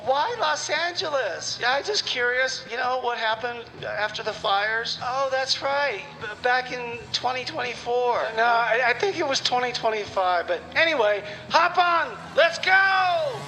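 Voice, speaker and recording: A man voicing an excitable, scatterbrained time traveler in comedy radio theater, stumbling over his words. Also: extremely high pitch, unhurried, few pauses, a little hiss or hum, full character voice, low-quality recording. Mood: curious, urgent.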